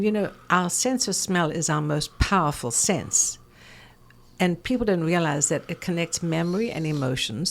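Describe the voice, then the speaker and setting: Older female interview guest, low pitch, English South African accent, theatrical conversational raconteur. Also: gravelly. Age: 60s. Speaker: interview guest, fragrance expert. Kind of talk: conversational personal storytelling.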